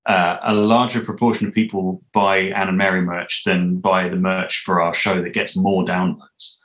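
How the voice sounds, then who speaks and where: Man, 30s, very low voice, podcast creator in a casual interview, halting explanatory delivery. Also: level tone, a bit bright, poor audio. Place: small indoor room at home. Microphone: video call, computer at arm's length.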